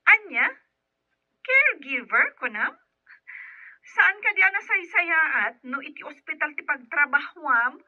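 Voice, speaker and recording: Very high-voiced chatty nurse. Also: female voice, big pitch swings, slow pace, pauses often, no room sound, muffled recording, exaggerated voice. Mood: chatty, insistent. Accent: Ilocano Filipino. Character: chatty, nosy female hospital nurse friend. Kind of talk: Filipino radio drama acting.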